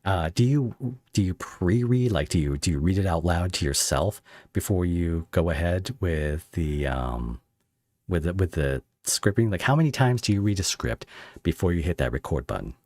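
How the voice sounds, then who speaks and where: Male interview host, deep and animated, close dry sound, casual halting delivery. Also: no room sound. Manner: halting, rambling questions. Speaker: podcast host interviewing a creator.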